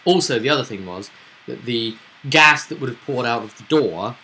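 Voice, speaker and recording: A man's voice: mid-range voice, lively intonation, moderate pace, bright, forceful tone, low-quality recording. A man casually recapping a tabletop roleplaying session as game master, with a storyteller's phrasing and tag questions.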